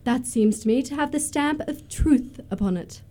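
Woman doing a posh-acting snooty young lady in a radio play, high pitched, pompous and exact. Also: normal speed, warm tone, natural voice. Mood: stately, probing. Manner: precise, declamatory, pompous. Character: haughty, imperious young society lady in love. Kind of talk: staged radio comedy of manners.

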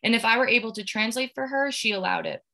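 Bright-voiced young woman, earnest. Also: a little high, fast talker, gravelly. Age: young adult. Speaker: education student, podcast co-host. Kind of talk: partly scripted podcast discussion.

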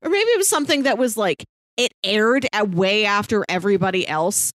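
A woman's voice: mid-range voice, lively intonation, a little fast, a bit bright, dry recording. A woman co-hosting a podcast, chatting casually as she reviews a show. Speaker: podcast co-host.

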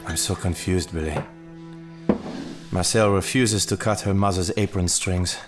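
Deep-voiced jealous husband. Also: male voice, steady tone, a little slow, music in the background. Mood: troubled. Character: jealous, anguished husband and father. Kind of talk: audio drama acting.

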